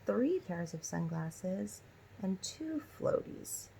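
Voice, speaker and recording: A woman's voice: mid-range voice, big pitch swings, slow, gravelly, mellow, slight background noise, low-quality recording. A woman teacher reading a children's story aloud and prompting listeners with instructive questions.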